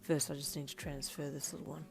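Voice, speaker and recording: A woman's voice: level tone, fast, gravelly, soft background music. A woman doing a sly, flirty husband. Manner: low murmur, teasing.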